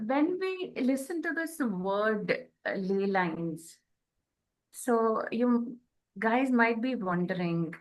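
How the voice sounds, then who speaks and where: A woman co-hosting a conversational spiritual talk, speaking in short, halting phrases. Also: medium pitch, poor audio. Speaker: podcast co-host.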